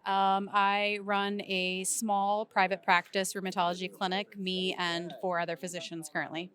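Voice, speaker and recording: A woman's voice: medium pitch, level tone, moderate pace, fairly bright tone, clear, resonant tone. A woman from Iowa, a doctor, talking in a straightforward, practical way. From Iowa, USA. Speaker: rheumatologist. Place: convention center floor.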